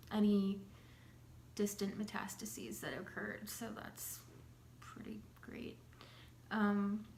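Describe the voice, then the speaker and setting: A young woman with a slight cold, chatting casually to camera in a loose, rambling way with many fillers. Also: medium pitch. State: has a slight cold, clears her throat. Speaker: vlogger sharing her recovery. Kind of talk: casual talking-to-camera update. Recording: echoey room with faint hum.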